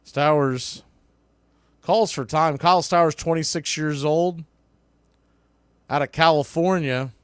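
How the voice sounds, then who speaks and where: A man's voice: on the high side, a bit bright, clear, resonant tone, no echo. A man calling a baseball game play by play in clipped phrases that build on big plays. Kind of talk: live play-by-play commentary.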